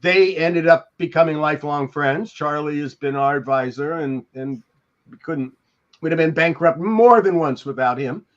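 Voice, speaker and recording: An older man reminiscing casually in a halting, rambling storytelling manner on a video call. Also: on the high side. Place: indoor room on a video call. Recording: dull, lo-fi call audio.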